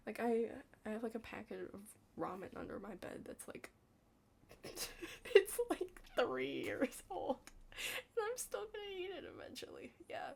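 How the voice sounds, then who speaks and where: A young adult woman doing an ASMR roleplay as a study partner, chatting casually in a hushed, halting, rambling way with lots of "like" and some whispering. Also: fairly high pitch, huge pitch swings, vocal fry. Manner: rambling, halting, heavy use of "like".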